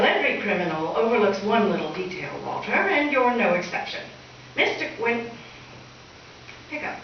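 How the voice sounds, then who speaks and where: A woman's voice: sits high, highs cut off. A woman playing a wry, sensible schoolteacher, articulate and reproving, in old-time radio comedy style. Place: live stage performance in a reverberant room. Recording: echoey distant stage recording, faint noise.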